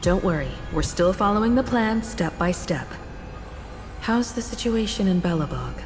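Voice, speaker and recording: An actress playing a gracious, composed female crew leader in a video game, polite and measured in her speech. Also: medium pitch, animated, lightly acted. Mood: composed, grave. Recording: long reverb, loud music behind.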